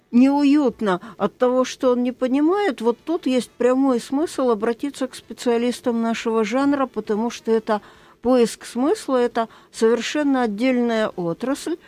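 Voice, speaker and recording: A woman's voice: fairly high pitch, a little mellow. Woman psychologist on a radio talk show, sure of herself, explains stuff. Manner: confident, explanatory. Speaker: psychologist co-host.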